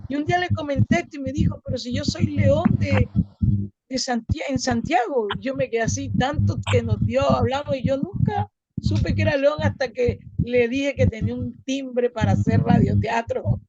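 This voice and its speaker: A Chilean woman with a very high, creaky voice shares a casual anecdote. The sound is dull and lo-fi, with clear crowd noise behind her. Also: normal speed. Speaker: workshop participant. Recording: dull lo-fi, chattering people behind.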